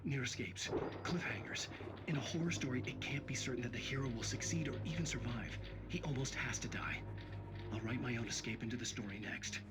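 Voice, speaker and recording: A man's voice: mid-range voice, a little fast, heavy background noise, close to an everyday voice. A male actor playing a haunted, desperate horror writer in a video game, delivering a grave, intense monologue with grim determination. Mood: grim resolve. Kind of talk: dramatic video game narration. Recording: voice under loud music.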